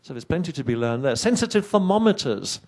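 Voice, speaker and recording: A middle-aged man from England with an English accent, not a native speaker of English, giving a lively, chatty science presentation to an audience with wry asides. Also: on the high side, brisk. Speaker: conference speaker.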